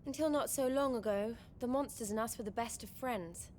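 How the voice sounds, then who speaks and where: A woman's voice: fairly high pitch, brisk, darkish tone, slight background noise, natural voice. A woman playing a spirited young heroine who speaks plainly and casually. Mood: plucky. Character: spirited young woman hero, plucky and direct. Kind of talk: game cutscene acting.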